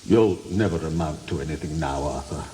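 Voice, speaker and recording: A man's voice: very deep voice, fairly quick, dark tone. An actor playing a stern, ailing father, grave and reproachful, in a radio play. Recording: long reverb, faint background noise.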